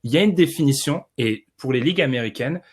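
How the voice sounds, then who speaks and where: A man's voice: mid-range voice, expressive, quick pace, slightly rough. A man co-hosting a podcast discussion, explaining points in a confident, wry way. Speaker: podcast co-host.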